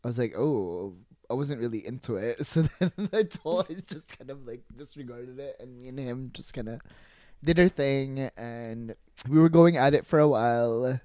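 A podcast co-host telling a personal anecdote in casual, gossipy slang with quoted dialogue, giggly and excitable. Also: medium pitch, normal speed, no room sound.

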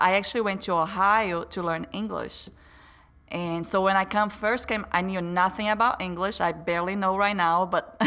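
A woman's voice: lowish voice, bright, forceful tone. A woman from Brazil with a Brazilian Portuguese accent, a podcast guest in casual chat, laughing often as she talks.

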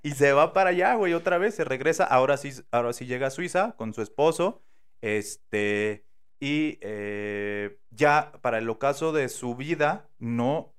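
A man with a Mexican accent, casually telling a true crime story on a podcast, slangy and animated, acting out the quotes. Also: mid-range voice, measured pace, smooth. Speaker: podcast host.

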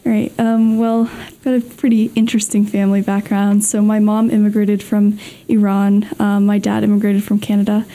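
A woman's voice: medium pitch, flat delivery, moderate pace, hardly any pauses, mellow, soft background music, poor audio. A teenage girl chatting about her family background with many fillers. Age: teens. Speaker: student interviewee.

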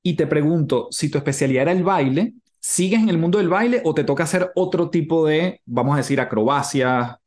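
A man's voice: a little high, brisk, hardly any pauses, a little mellow. A man hosting a conversational interview and putting questions to his guest. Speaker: interviewer, podcast host.

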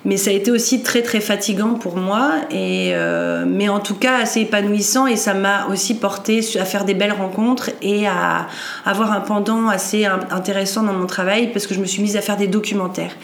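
A woman's voice: medium pitch, moderate pace, reverberant, slight background noise. A woman in her forties from northern France, telling her life story as a podcast guest, hesitant with many fillers and restarts. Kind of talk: personal story in an interview.

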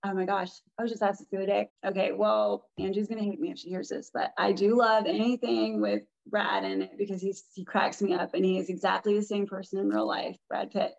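Raspy, gravelly woman, lo-fi. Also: medium pitch, level tone, brisk, hardly any pauses, a little mellow. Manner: rambling, restarts sentences often. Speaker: guest. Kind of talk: casual interview talk.

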